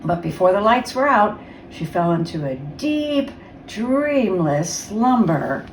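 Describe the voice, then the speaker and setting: A woman reading a children's storybook aloud as a guest reader, with expressive, dramatic storytelling. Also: medium pitch, slow. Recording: roomy, distant mic, faint noise.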